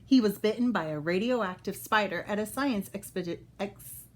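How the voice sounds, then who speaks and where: A woman reading a children's book aloud as a storyteller, expressive and pausing to explain things for young listeners. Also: mid-range voice, slight background noise.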